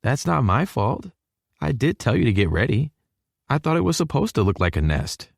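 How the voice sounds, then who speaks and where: A man's voice: medium pitch, big pitch swings, normal speed, creaky, no room sound, clean studio sound, voice pushed up. A man voicing a blunt but caring young college man who teases his girlfriend, in an audiobook. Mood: teasing.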